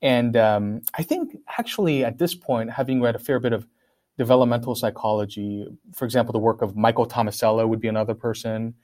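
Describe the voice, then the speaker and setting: A man who grew up in Korea and speaks Korean as his first language talks through philosophical ideas in a conversational way. He pauses often, restarts his sentences and fills the gaps with ums and likes. His voice sits in an ordinary middle register. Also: medium pitch, a little mellow. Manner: thoughtful, many fillers and restarts. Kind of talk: casual academic discussion.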